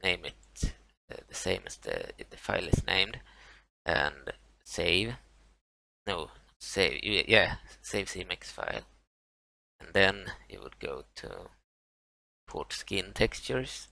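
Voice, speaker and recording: A man with a Swedish accent, a native Swedish speaker, talking through a software tutorial, halting with many fillers and restarts. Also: low voice, big pitch swings, creaky, dry recording, low-quality recording. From Sweden. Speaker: tutorial maker. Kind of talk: screen-recorded software walkthrough.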